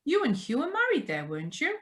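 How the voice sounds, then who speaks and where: An actress plays a doting, chatty older mother-in-law from a comfortable household. Her voice is high and swings wildly up and down. The delivery is old-fashioned radio drama. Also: huge pitch swings, fast talker, fairly bright tone, full character voice. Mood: chatty, insistent. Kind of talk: 1940s-style radio drama acting. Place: remote live reading over video call.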